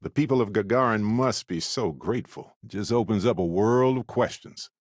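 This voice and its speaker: A man voicing a warm, wry, chatty middle-aged space explorer companion in a video game, conversational and playful. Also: lowish voice, moderate pace, no room sound, studio quality, natural voice. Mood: friendly, wry. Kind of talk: video game voice acting, short character lines.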